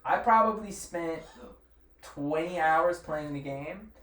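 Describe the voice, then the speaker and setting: A man's voice: fairly high pitch, slow, fairly bright tone. A man hosting a casual group chat, talking in a crude, opinionated way with plenty of swearing. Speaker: podcast host. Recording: echoey room, distant mic, faint noise.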